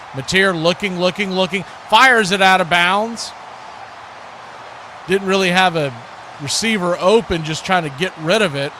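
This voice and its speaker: Higher-voiced man calling football, noisy background. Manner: folksy, excitable on big plays. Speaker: radio play-by-play announcer. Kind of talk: live football play-by-play commentary with asides to viewers. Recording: clear background noise.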